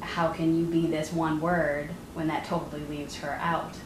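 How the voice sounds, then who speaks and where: A woman interviewer speaking thoughtfully and in measured phrases about a novel. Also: low voice, level tone. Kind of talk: casual interview conversation. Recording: roomy with background noise.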